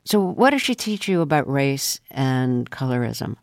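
A woman hosting a radio interview, asking calm, probing questions with frequent pauses and ums, from a studio. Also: a bass voice, vocal fry, dry recording.

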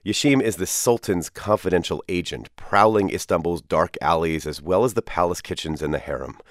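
A man radio anchor reading a scripted news introduction in a measured, expressive way. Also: low voice, dry recording, clean studio sound.

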